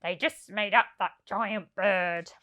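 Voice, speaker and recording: A woman's voice: medium pitch, fairly even intonation, slow, pushed and bright. A woman voicing a greedy, gullible male thief from a children's storybook, in a gloating manner. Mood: impatient, grumbling.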